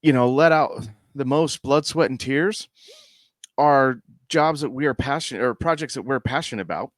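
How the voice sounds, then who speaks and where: A man's voice: medium pitch, normal speed, dry recording. A middle-aged man from the United States co-hosting a podcast, rambling in casual chat and often asking "you know what I mean". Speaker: podcast co-host.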